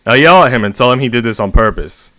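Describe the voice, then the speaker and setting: A man reading first-person short stories aloud as host, with expressive emphasis on the narrator's exasperation. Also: medium pitch, fast, no room sound. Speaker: story reader and host.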